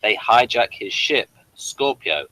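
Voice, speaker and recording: Bright-voiced man rambling through a review. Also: mid-range voice, sing-song, low-quality recording. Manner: rambling, halting delivery. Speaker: host of a TV review show. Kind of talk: unscripted casual review chat.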